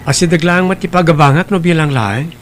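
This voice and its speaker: A man's voice: on the high side, brisk, a little hiss or hum, natural voice. A man playing an earnest young nephew. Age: young adult. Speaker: nephew in a family drama. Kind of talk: Ilocano radio-style family drama.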